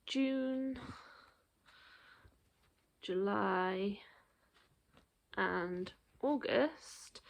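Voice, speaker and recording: A woman's voice: mid-range voice, gravelly. A woman in her thirties chatting casually through a show-and-tell of her cross stitch projects, rambling with frequent ums and a little out of breath.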